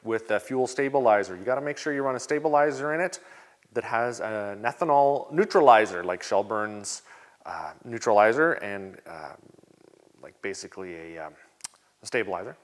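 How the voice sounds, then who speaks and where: A Canadian man hosting a casual vlog, answering viewer questions in a relaxed, chatty way with plenty of ums and uhs. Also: lowish voice, moderate pace. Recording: long reverb.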